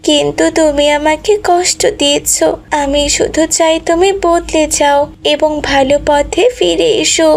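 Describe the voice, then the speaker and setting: A woman's voice: high-pitched, steady tone, unhurried, creaky, voice pushed up. A woman voicing a sorrowful, pleading young wife and devoted daughter in a moral cartoon. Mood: sad, pleading. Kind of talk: Bengali moral cartoon voice acting.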